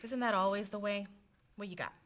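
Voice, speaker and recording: A woman's voice: mid-range voice, fairly even intonation, brisk, fairly bright tone, close to an everyday voice. A woman playing a teasing, beguiling young female graduate student in an amateur radio play. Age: young adult. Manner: teasing, playful.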